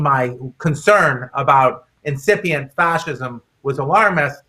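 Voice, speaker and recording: Halting, bright-voiced man explaining his case. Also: fairly high pitch. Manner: halting, hesitant delivery with repeated words. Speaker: guest author and speaker. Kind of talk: public discussion, explanatory talk.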